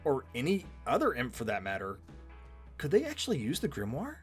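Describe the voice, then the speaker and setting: A man's voice: medium pitch, wildly swooping pitch, fairly quick, over loud music. A man giving scripted back-and-forth commentary as co-host of a fan show.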